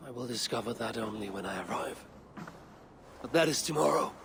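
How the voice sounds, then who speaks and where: Weathered Viking leader, gravelly voice, solemn, deliberate, resolute, game drama, noisy background. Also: male voice, medium pitch, animated, fairly bright tone. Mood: resolute, wistful. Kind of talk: dramatic video game acting.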